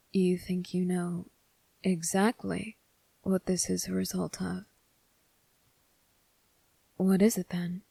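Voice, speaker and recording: A woman playing a kind, earnest teenage boy cadet in an ASMR roleplay, speaking gently, politely and with some hesitation. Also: fairly low pitch, creaky, no echo, heavily acted. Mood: soothing, worried.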